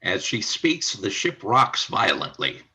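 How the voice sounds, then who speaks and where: Husky, forceful male radio play narrator. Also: lowish voice, wildly swooping pitch, takes it slowly. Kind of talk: scripted radio drama narration.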